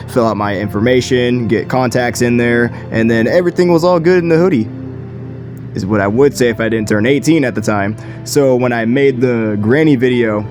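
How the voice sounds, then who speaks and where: A young man in his late teens telling a story in a chatty, slangy, self-deprecating way. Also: medium pitch. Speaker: video creator. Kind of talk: casual storytime vlog. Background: music.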